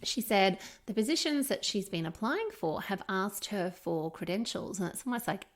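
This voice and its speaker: A middle-aged Australian woman co-hosts a casual podcast. She talks in a candid, conversational way, stressing her points with encouragement. Her pitch sits in the middle range for a woman. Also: expressive, normal speed. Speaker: podcast co-host.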